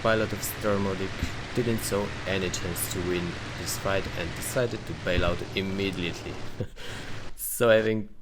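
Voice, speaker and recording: A man's voice: deep voice, fairly even intonation, moderate pace, heavy background noise. A man with a Polish accent, his native language, talking through his flight sim gameplay in careful, plain phrasing. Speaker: gaming channel host.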